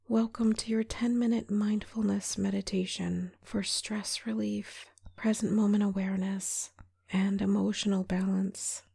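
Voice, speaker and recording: Female meditation guide, whispery and soothing, mellow tone, very even delivery with gentle pauses. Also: mid-range voice, dry recording, clean studio sound. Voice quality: breathy, whispery. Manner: calm, soothing, gentle, unhurried with long pauses. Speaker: meditation guide, yoga teacher. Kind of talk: guided meditation, soft-spoken.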